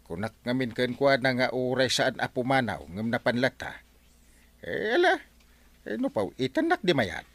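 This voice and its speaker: An excitable Ilocano village captain in a comic radio drama. His pitch swings extremely widely as he stammers and blusters. He sounds easily flustered and full of exclamations. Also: moderate pace, dry recording. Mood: flustered, whining. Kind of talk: broad comedy radio drama acting.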